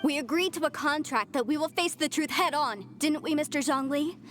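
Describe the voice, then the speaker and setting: A zealous young follower of a fallen goddess, voiced by a woman, speaks in a high, earnest voice. She sounds impassioned and devoted. Game music plays underneath her. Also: a little fast, natural voice.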